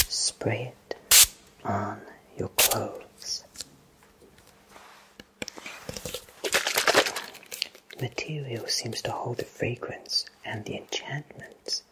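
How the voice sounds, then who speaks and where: A man in his thirties voicing a kindly fantasy potion shopkeeper in a soothing, breathy ASMR whisper. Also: mid-range voice, natural voice, poor audio. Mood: reassuring, helpful. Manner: slow, soothing, reassuring. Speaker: ASMR roleplayer.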